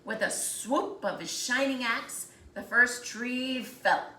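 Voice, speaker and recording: A woman narrating a children's storybook aloud with deliberate, dramatic phrasing. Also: a little high, pushed and bright. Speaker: storybook reader. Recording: roomy echo, faint background noise.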